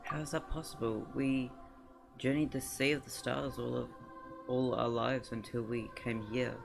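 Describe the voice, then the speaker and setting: Game hero: man as young male traveler, earnest, hesitant, mid-pitched with some fry. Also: little pitch movement, normal speed, over music, close to an everyday voice. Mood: shaken, urgent. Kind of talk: video game voice acting.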